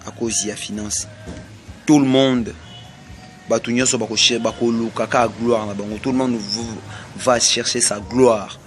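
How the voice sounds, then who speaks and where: A man from Congo with a Congolese French accent, delivering a slow, repetitive prophetic sermon. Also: mid-range voice, fairly even intonation, soft background music. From Congo (DRC). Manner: slow, repetitive, emphatic pronouncements. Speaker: self-styled prophet.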